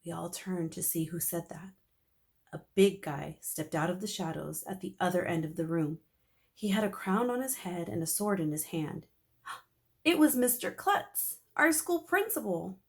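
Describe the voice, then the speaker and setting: A woman teacher reading a children's chapter book aloud in an expressive, animated storytelling manner. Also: fairly low pitch, measured pace, vocal fry.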